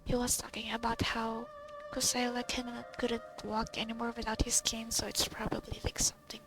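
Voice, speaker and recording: A woman giving casual let's play commentary, informal and halting as she thinks aloud. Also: fairly high pitch, level tone, poor audio. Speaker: gamer reacting to a story quest.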